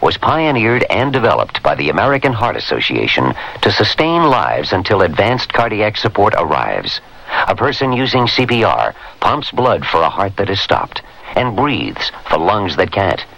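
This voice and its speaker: A man's voice: on the low side, wildly swooping pitch, moderate pace, vocal fry, a bit bright, rough recording. A man reading a scripted public service announcement as a radio announcer.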